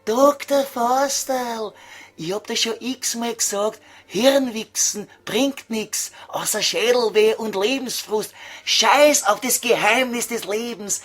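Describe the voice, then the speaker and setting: Slimy viennese devil, high pitched, really slow, mocking and crude, comedy audio play. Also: male voice, fairly bright tone, heavily acted. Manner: coaxing, vulgar, mocking.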